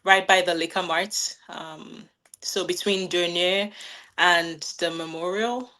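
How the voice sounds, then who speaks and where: A woman in her thirties, originally from Nigeria, answering interview questions in a relaxed, friendly way with frequent "um"s and little laughs. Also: fairly low pitch, takes it slowly. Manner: friendly, laughs often, many "um"s and "like"s. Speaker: guest, new business owner.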